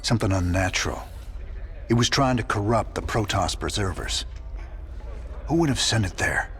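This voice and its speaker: Sci-fi game lead: weary rebel commander, low drawl, terse and gruff, faint music behind. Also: male voice, animated, moderate pace, a bit bright. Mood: troubled. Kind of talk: video game cutscene acting.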